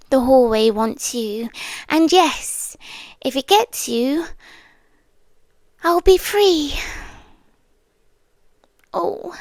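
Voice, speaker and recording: A British woman playing a possessive demon girlfriend in an ASMR roleplay, halting and breathy, eerily tender and menacing, close on the mic. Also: high-pitched, no room sound, full character voice. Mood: pleading, anguished. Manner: breathy whispering, halting, drawn-out delivery. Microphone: close, dry ASMR microphone.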